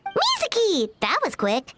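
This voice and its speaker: A woman voicing a small, chirpy, excitable childlike fairy companion in a video game. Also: very high voice, unhurried, background noise, exaggerated voice. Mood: eager, excitable. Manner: perky, exclaiming, refers to herself in third person.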